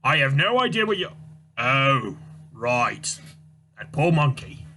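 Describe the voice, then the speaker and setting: A man reading a comic aloud, voicing a boisterous, brash company boss with a loud, booming delivery. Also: sing-song, slow, full character voice.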